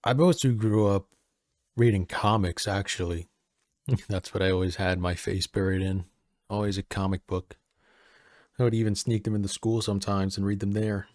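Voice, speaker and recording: A man playing a friendly, easygoing personal assistant at a manor in an audio roleplay, soft-spoken, casual and a little hesitant. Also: on the low side, fairly even intonation, normal speed, no echo, studio quality, lightly acted. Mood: wistful, chatty.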